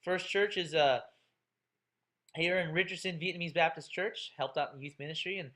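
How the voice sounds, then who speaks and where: A man's voice: a little high, normal speed, fairly bright tone. Chatty man teaching a class. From Texas, USA. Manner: chatty and joking, many fillers. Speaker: college instructor. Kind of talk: classroom self-introduction.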